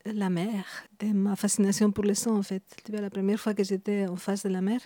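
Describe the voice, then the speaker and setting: A woman in her fifties from Spain, speaking with a Spanish accent, reflective and halting, with many restarts. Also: mid-range voice, little pitch movement, dark tone, dry recording. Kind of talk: reflective interview talk.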